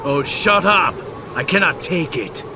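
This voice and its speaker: An actor playing a vain, sadistic pretty-boy assassin in a fighting game dub, haughty and disdainful with creaky, drawn-out menace. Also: on the high side, lively intonation, a loud crowd, heavy background noise. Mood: contemptuous. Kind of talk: English-dubbed fighting game villain acting. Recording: loud music under the voice.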